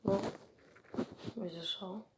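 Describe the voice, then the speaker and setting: A woman's voice: mid-range voice, fairly even intonation, takes it slowly. Offhand female voice on a noisy recording. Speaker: video creator reacting to videos. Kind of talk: casual unscripted reaction commentary. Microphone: phone or device mic during screen recording. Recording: noisy home screen-recording audio with clicks.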